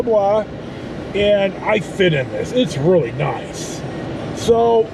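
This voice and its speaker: A man telling a casual, rambling vlog story in a plain-spoken, unpolished way. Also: extremely high pitch. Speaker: vlogger. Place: inside a moving car and outside on a car lot. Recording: noisy vehicle and outdoor background. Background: car and road noise.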